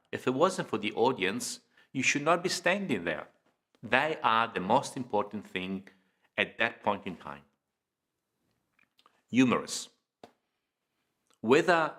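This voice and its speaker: Bright-voiced man giving a measured lecture. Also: medium pitch. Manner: measured, deliberate, emphatic phrasing with pauses. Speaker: presenter of public speaking training video. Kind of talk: informative instructional lecture, scripted delivery.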